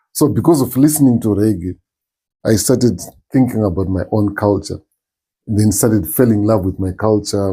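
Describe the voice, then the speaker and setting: A middle-aged man with a strong Zimbabwean accent, answering interview questions thoughtfully with many pauses and restarts. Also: on the low side, dark tone, slightly rough. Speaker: interview guest, musician. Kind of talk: relaxed interview talk.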